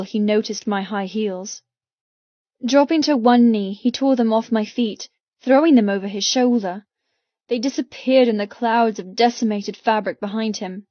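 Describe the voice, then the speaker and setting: Higher-voiced woman narrating an audiobook. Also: no room sound, highs cut off. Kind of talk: scripted first-person dramatic narration.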